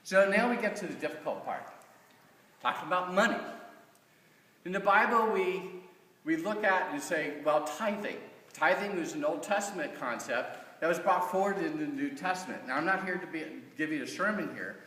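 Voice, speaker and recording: A middle-aged man with an American accent gives an informal, earnest personal testimony to a congregation in a halting, conversational way. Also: high-pitched, sharp tone. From Kansas, United States. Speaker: church member giving a stewardship talk. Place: large reverberant room, speaking from off the mic. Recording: echoey church hall, distant mic.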